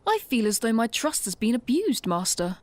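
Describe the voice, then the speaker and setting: A woman's voice: on the high side, very animated, normal speed, darkish tone, no echo. A woman voicing a formal, obedient female robot companion who calls the others Master. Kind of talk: fan audio drama acting.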